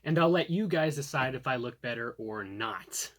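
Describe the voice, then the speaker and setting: Man, medium-pitched voice, casual product reviewer, chatty, thinks aloud while demonstrating. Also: animated, moderate pace.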